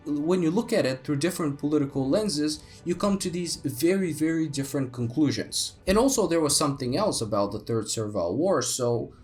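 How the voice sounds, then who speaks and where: A man's voice: a little high, darkish tone. A young man, a native Bulgarian speaker, talking through history on his solo podcast, thoughtful with many fillers and restarts. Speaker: podcast host.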